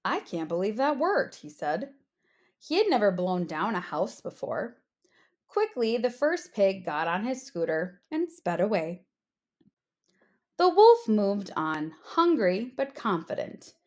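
Slow, expressive woman reading a storybook. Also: medium pitch. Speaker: storybook reader, teacher. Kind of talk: expressive children's read-aloud narration.

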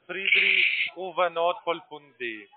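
A man's voice: on the high side, animated, drawn-out words, slightly rough. A man working as a phone agent, giving a customer terse, insistent instructions. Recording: narrow, muffled phone line.

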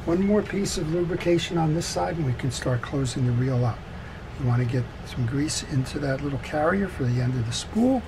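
Man with a creaky, higher-pitched voice. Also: brisk. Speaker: fishing reel repairer presenting a tutorial. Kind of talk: hands-on instructional demonstration, casual step-by-step talk. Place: indoors at a wooden workbench. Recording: steady background noise.

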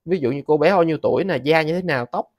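A man's voice: on the high side, fast talker, no room sound, clean studio sound. A man in his thirties with a Southern Vietnamese accent giving a casual, conversational step-by-step tutorial as host. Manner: conversational, frequent sentence-ending particles addressing viewers.

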